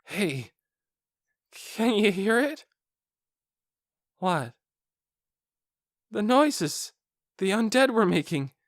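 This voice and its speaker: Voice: medium pitch, sing-song, extremely slow, pauses often, dry recording, clean studio sound, pitched above the performer's own voice. A man voicing an awestruck, shaken town guard in an audiobook, speaking in incredulous questions and exclamations. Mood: awed, trembling.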